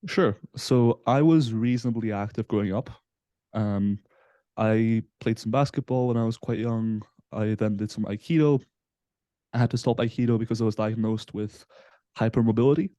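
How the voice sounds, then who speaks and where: A man in his twenties from Belgium, a guest on a casual podcast interview, explaining in a relaxed way with frequent fillers. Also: on the low side, moderate pace, no echo. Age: 20s. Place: Southampton, UK.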